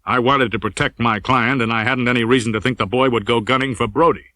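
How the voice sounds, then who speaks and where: A man's voice: medium pitch, steady tone, fast, bright, forceful tone, no room sound, natural voice. A man playing a terse, hard-boiled private eye. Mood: cool, terse. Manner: terse, matter-of-fact.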